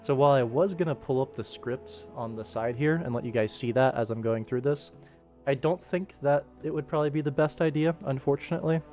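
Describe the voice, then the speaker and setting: A man's voice: mid-range voice, fairly quick. Casual male streamer thinking aloud. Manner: informal, rambling, thinking aloud. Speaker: streamer, animation director. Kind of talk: casual livestream work commentary. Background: game ambient music playing softly.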